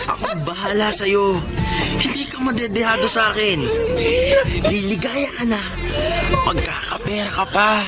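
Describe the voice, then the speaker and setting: An actor playing a callous, philandering husband, taunting and threatening in a melodrama. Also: male voice, high voice, measured pace, loud music behind the voice. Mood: lustful, coaxing. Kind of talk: Filipino melodrama acting.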